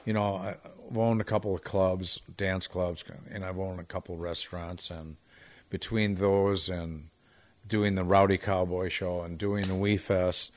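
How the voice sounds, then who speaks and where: Low-pitched male podcast co-host, easygoing storyteller who pokes fun at himself. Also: little pitch movement, a little slow. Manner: jokey, self-deprecating storyteller. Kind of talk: casual chat.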